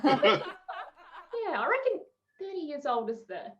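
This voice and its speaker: High-pitched, animated Australian woman comedian. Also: takes it slowly, a crowd in the background. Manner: expressive, wry, chuckling between phrases. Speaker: stand-up comedian. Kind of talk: stand-up comedy set over video call. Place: small room at home. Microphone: headset on a video call. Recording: muffled, noisy video-call audio with clicks.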